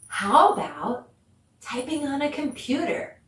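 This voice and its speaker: A woman teaching a children's online dance lesson, speaking in an encouraging, animated way with lots of questions and deliberate pauses. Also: high-pitched. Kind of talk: children's online arts lesson, addressing viewers. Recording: echoey room, distant mic, faint noise.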